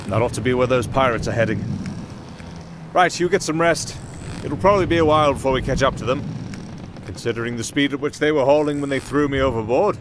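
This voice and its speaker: A man's voice: medium pitch, quick pace, noisy background, lightly acted. A man playing a roguish, wry musician turned makeshift captain in an ASMR fantasy roleplay, chatting to a companion. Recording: clear outdoor sea ambience behind.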